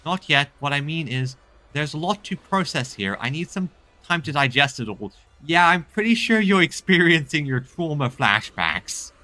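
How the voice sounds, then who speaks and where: A man commentating on a video game as a streamer, reading dialogue aloud and reacting in an animated way. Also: fairly high pitch, normal speed.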